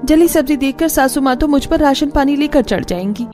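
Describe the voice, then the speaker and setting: Woman playing an irritable, high-voiced overworked young daughter-in-law. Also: fairly quick, mellow, faint music behind, close to an everyday voice. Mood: fretting. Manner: exasperated muttering complaints. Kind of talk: cartoon family drama.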